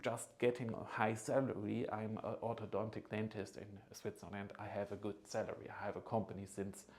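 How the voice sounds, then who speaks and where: A man in his thirties giving an informal, earnest promotional pitch as a consultant, persuasive and often checking in with the listener. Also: level tone, a little fast, darkish tone. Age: 30s. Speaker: agency founder and study consultant.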